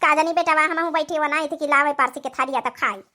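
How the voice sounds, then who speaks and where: A woman's voice: high voice, steady tone, a little fast, a crowd in the background, natural voice. A woman voicing a patient, doting old village mother-in-law in an Awadhi comedy cartoon, with homely, coaxing, plaintive speech and an Awadhi accent. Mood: wistful, homely.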